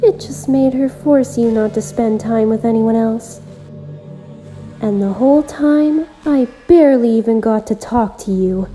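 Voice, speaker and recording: A lonely, obsessive game girl, acted by a woman, speaks in a highish, very soft and mellow voice over prominent music. She addresses the listener gently and intimately, with sweet menace, like a self-aware visual novel character. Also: a little slow, lightly acted, low-quality recording. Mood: bitter, pleading. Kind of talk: visual novel character monologue, amateur voice acting.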